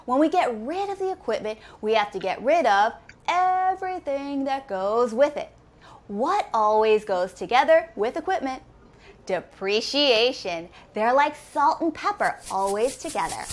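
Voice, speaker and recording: Woman accounting instructor, thirties, animated delivery, slightly high voice, step-by-step explanations. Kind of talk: recorded lesson walkthrough. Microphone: camera framed head and shoulders.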